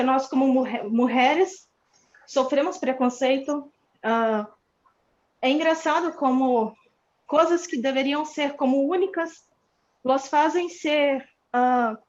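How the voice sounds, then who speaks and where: A woman sharing a personal story slowly and earnestly at a church study. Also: high voice. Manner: slow, earnest, mixing Portuguese and Spanish. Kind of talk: personal testimony. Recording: muffled lo-fi sound.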